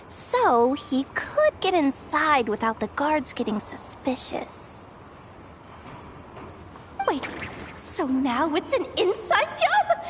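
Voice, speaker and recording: High, fretful young guild worker. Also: female voice, fairly bright tone, a little hiss or hum, heavily acted. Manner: dramatic, fretful. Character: flustered, anxious young female guild worker. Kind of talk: video game voice acting.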